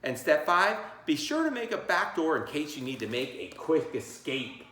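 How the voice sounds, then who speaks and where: A man's voice: on the high side, sing-song, moderate pace, sharp tone. A man reading a children's book aloud as a teacher, theatrical and encouraging, breaking off for chatty asides and questions. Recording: echoey room.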